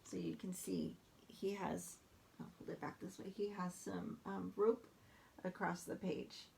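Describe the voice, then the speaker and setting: A woman reading a picture book aloud in a chatty, hesitant way, pausing to explain the pictures. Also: lowish voice, fairly even intonation, creaky, darkish tone, slight background noise. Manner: chatty, hesitant with many fillers. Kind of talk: casual read-aloud with commentary.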